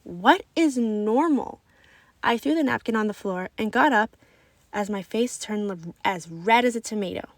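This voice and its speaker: A woman reading a children's story aloud in expressive, scripted narration. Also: mid-range voice, moderate pace, no echo. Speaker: storybook reader.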